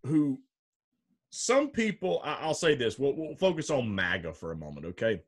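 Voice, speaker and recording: A man with a deep voice, a podcast guest making his case in casual political chat, emphatic and often checking in with "okay?". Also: big pitch swings, moderate pace, husky.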